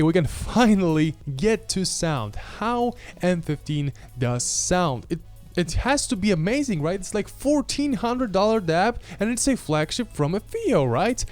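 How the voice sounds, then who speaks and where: A young man, reviewing a gadget to camera in a casual, animated, self-mocking way, holding a handheld mic in a small room at home. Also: fairly high pitch, clean tone. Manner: chatty, animated, self-mocking, gestures while talking. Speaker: tech reviewer. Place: small home room with audio gear, indoors.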